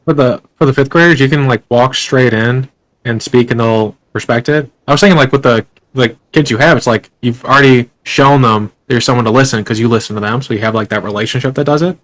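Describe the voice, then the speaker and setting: A young adult man hosts a casual interview at a quick clip. His questions ramble along, full of fillers and restarts. His pitch is mid-range for a man. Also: fairly bright tone, low-quality recording. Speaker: podcast host.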